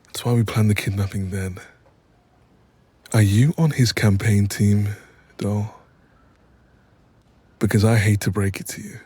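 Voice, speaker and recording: Male actor as mafia boss, lowish pitch, husky, wry, chatty, apologetic yet exasperated, intimate audio roleplay. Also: fairly even intonation, measured pace, pauses often, darkish tone, no room sound. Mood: gossipy, indignant. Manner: wry, sweary, chatty, calls listener doll. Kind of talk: audio roleplay acting, intimate one-sided dialogue.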